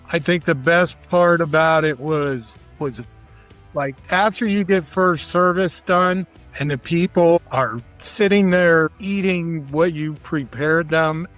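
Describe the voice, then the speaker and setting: Slow-talking man with a higher-pitched voice. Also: fairly bright tone. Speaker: interview guest, barbecue cook. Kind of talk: casual conversational interview.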